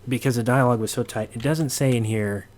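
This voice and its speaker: A man giving an informal sound design tutorial, halting as he thinks aloud. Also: medium pitch, fairly even intonation, darkish tone.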